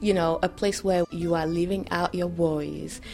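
Woman, low and expressive. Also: normal speed, creaky, faint music behind. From Benin. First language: French. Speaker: business owner. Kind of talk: interview soundbites.

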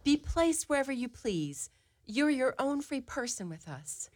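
An actress playing a kindly middle-aged mother in a radio play, gentle, reassuring and affectionate. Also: sits high, big pitch swings, unhurried, full character voice. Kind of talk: live radio play acting.

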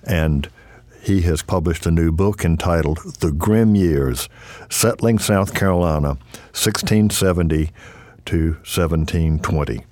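A man's voice: deep voice, measured pace, darkish tone, no echo. A man hosting a radio interview in a studio, conversational and friendly, leading the talk with long, easygoing questions. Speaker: radio show host, interviewer.

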